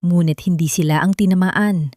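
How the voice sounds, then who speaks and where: A woman's voice: lowish voice, fairly even intonation, normal speed, a little mellow, dry recording. Woman narrating drama, close dry sound. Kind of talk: dramatic scripted audio story narration.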